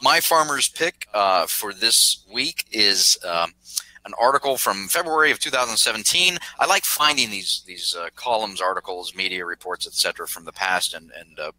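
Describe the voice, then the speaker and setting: A man with a very bright, sharp voice runs a casual podcast. He moves things along briskly and introduces each segment himself. His tone is easygoing but clipped. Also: lowish voice, animated, rough recording. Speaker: podcast host.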